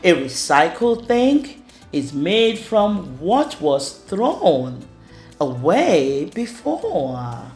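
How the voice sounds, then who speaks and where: A woman reading a rhyming children's storybook aloud in an expressive way. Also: fairly low pitch, very slow. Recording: roomy echo with music underneath. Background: music.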